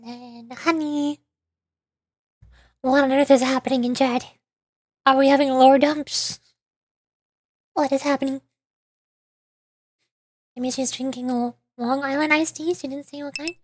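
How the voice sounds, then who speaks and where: A woman's voice: high-pitched, fairly even intonation, a little slow, pauses often, a little mellow, dry recording, clean studio sound. A woman livestreaming a game, chatting casually with viewers and tripping over hard words as she reads aloud. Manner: chatty, stumbles over hard names, reading chat aloud. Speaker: VTuber game streamer. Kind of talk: casual livestream chat while gaming. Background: music.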